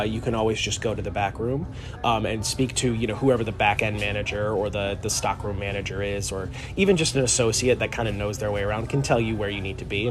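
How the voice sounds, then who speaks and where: A man co-hosting a casual live stream from inside a pharmacy, explaining the work in a relaxed, rambling way with lots of fillers. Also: on the low side. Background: store music.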